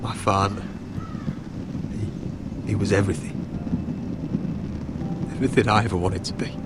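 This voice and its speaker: An actor playing a grieving, wounded young lord in a fantasy game, speaking solemnly and haltingly. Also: male voice, lowish voice, fairly even intonation, very noisy, natural voice. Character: grieving young lord, wounded eldest son of a noble house. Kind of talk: dramatic video game voice acting. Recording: loud music under the voice.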